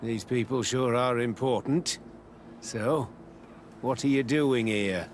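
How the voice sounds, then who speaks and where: Male actor as game army captain, authoritative, guarded, curt, measured delivery, medium pitch, faint ambient noise. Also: close to an everyday voice. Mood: wary familiarity. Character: guarded, commanding male captain running a secret military camp. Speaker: military camp commander. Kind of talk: video game dialogue acting.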